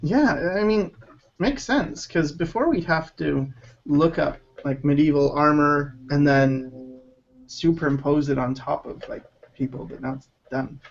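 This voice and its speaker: A man with a somewhat raised pitch and a gravelly, creaky texture chats as a guest on a stream. He keeps his remarks short and dry. The audio comes through dull and lo-fi. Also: a little slow. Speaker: guest artist on a livestream. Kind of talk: casual chat.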